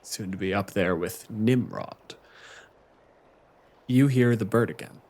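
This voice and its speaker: A man's voice: on the low side, takes it slowly, darkish tone, slight background noise. A man speaking as game master, running a tabletop roleplaying game in conversational narration.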